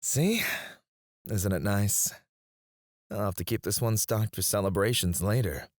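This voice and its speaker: A man playing a possessive, outwardly gracious CEO in an intimate scripted ASMR roleplay, speaking in a measured, suave manner. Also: fairly low pitch, no echo, studio quality, close to an everyday voice. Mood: gracious, pleased. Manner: measured, suave, soft chuckles and sighs. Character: smooth, possessive male CEO, outwardly gracious, quietly controlling.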